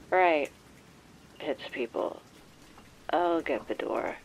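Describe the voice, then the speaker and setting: A woman acting a surly, weary bookstore keeper in an audio roleplay, deadpan and grumpy. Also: sits low, drawn-out words, a little hiss or hum, rough recording. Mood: irritated, sleepy.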